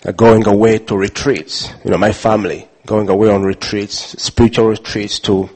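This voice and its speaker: A man from Uganda telling a personal story with many pauses, fillers and restarts. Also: fairly low pitch, flat delivery, darkish tone. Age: adult father. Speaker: speaker sharing his testimony. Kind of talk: personal testimony talk.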